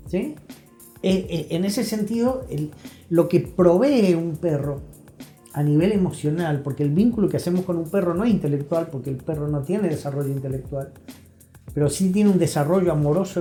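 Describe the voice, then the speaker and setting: An Argentine man speaks as an interview guest with a soft, mellow tone. He explains and advises in an emphatic, conversational way, repeating phrases to drive points home. The room is audibly echoey, with music playing behind him. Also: sits high. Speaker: interview guest, health professional. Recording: roomy echo, music behind.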